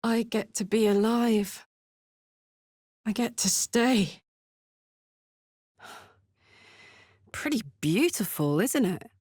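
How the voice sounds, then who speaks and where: A woman's voice: a little high, lively intonation, extremely slow, pauses often, darkish tone, dry recording, exaggerated voice. Casting: a female game warrior, brash yet big-hearted, plain-spoken and earnest, taking her time, recorded close and dry. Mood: tender. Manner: earnest, blunt, slangy and informal. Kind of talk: video game voice acting.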